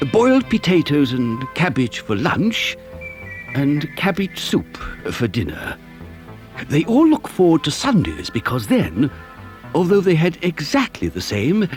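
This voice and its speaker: Exuberant chocolate maker, theatrical storybook narration, wildly animated pitch, music behind. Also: male voice. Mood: storytelling, sympathetic. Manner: theatrical, savouring words. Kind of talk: dramatised children's story narration.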